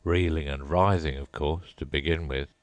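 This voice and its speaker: A man voicing a melancholy, sobbing male sea creature in a storybook reading, slow and mournful. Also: extremely low pitch, steady tone, dry recording.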